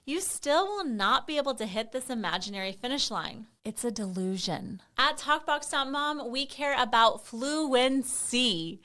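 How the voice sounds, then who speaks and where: A woman's voice: fairly high pitch, sharp tone. A woman giving a scripted promotional explainer for families, speaking in an encouraging, emphatic way. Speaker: company presenter.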